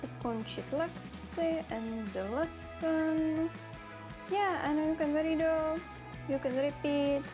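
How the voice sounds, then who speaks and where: A woman's voice: high-pitched, takes it slowly, music in the background. A young woman with a Czech accent, a host and native Czech speaker, giving a breezy, self-deprecating walkthrough of an app. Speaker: show host.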